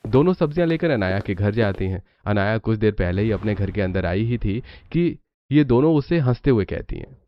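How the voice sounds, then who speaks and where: A man's voice: lowish voice, lively intonation, fairly quick. A man narrating a scripted cartoon family story as the storyteller. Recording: dull lo-fi narration, faint noise.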